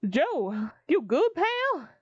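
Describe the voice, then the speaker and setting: A woman's voice: sits high, wildly swooping pitch, drawn-out words, no room sound, voice pushed up, heavily acted. A woman voicing a friendly, folksy flesh-lump creature janitor in a comic dub, hesitant and evasive. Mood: concerned. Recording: dull recording with background music.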